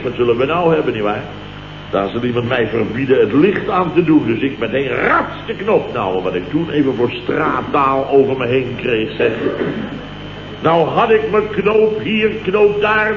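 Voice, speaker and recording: An indignant Dutch boss in an old radio comedy, blustering and sarcastic. His voice sits a bit high, and the recording is roomy and very noisy. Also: lively intonation, unhurried, dull audio. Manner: blustering, exclamatory, sarcastic storytelling. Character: grumbling, indignant male boss recounting his mishaps.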